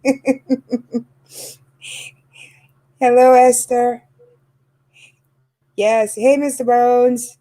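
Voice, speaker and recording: A woman's voice: fairly high pitch, flat delivery, very slow, long pauses, warm tone, rough recording. A woman of fifty hosting a casual chat about makeup, talking with many fillers and laughs. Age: 50s. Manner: chatty, lots of fillers and laughs. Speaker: channel host.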